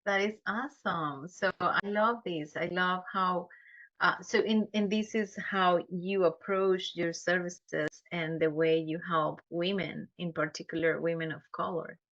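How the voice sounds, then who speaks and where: Bright-voiced woman host, dull recording. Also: lowish voice, fairly even intonation. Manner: warm praise, halting restarts when asking questions. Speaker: podcast host. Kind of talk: interview. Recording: dull lo-fi podcast audio.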